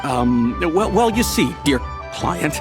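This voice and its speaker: A man's voice: fairly high pitch, sing-song, slow pace, over music, heavily acted. Flustered, stammering male shopkeeper. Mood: flustered, evasive. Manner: stammering, fawning, hesitant. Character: nervous, flustered, obsequious shopkeeper trying to escape customers. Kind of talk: video game voice acting.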